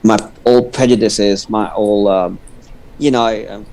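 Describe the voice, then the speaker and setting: A man's voice: on the low side, fairly even intonation, slow, a little mellow, rough recording. A man from India with an Indian English accent and Punjabi as his first language, chatting casually as a guest and stammering, often restarting his sentences. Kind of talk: casual livestream chat.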